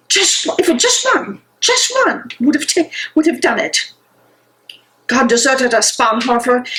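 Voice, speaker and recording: A woman playing a despairing, weary dissident in a dramatic stage reading, delivering the lines in a deliberate, halting way. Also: high voice, fairly bright tone, low-quality recording. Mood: bitter, despairing. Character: despairing, weary dissident haunting a captured comrade.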